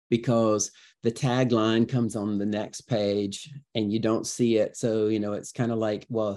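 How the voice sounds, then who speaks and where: Male guest educator, lowish and warm-toned, conversational, emphatic storyteller delivery. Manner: expressive storyteller, emphatic, illustrative. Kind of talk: conversational interview answers with acted-out examples.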